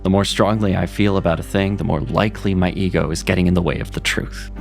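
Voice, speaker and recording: A low-voiced actor as a male mage with a creaky, gravelly edge, mentoring with patient sincerity. He speaks in measured, reassuring phrases, as in a fantasy audio drama, with music and rain underneath. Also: steady tone, darkish tone. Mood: earnest, reassuring. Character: Seraphin, male mage, gentle earnest mentor.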